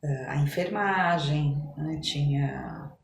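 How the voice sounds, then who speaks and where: A woman's voice: low-pitched, slow pace. A middle-aged Brazilian woman chatting casually as an interview guest, reminiscing in a conversational way. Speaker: podcast guest, physician. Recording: roomy echo, clear background noise.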